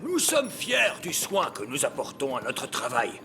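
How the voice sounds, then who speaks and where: A man's voice: medium pitch, wildly swooping pitch, measured pace. A man voicing a wise, proud old male elf in a children's cartoon, courteous and formal, with emphatic delivery. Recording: long reverb, music behind.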